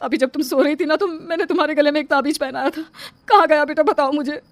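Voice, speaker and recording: A woman's voice: high voice, steady tone, fast, dry recording. A woman playing an anxious but brave village aunt in a horror cartoon, urgent and pleading. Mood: urgent, worried. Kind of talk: Hindi horror cartoon voice acting.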